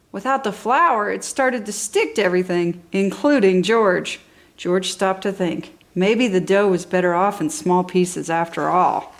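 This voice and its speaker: A woman narrates a picture-book story for toddlers. Her pitch swings widely as she stresses key words with enthusiasm. The delivery is expressive and clearly aimed at small children. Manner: enthusiastic, animated, exaggerated emphasis for young children. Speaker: storybook reader for a toddler channel.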